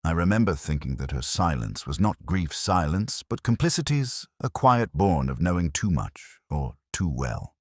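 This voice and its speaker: A man plays a melancholy police inspector telling his own mystery story. The voice is extremely low and husky, with lively shifts of pitch. The delivery is measured, literary and brooding, as in a radio detective drama. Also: no room sound, clean studio sound. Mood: watchful. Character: weary, melancholy male English police inspector narrating his case. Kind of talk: scripted first-person mystery narration.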